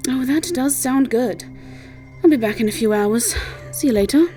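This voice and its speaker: A woman's voice: high-pitched, normal speed, warm tone, soft background music, pitched above the performer's own voice, close to an everyday voice. A woman voicing a brash, playful young woman with wild energy, casual and blunt, in an audio roleplay. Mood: sleepy, cheerful.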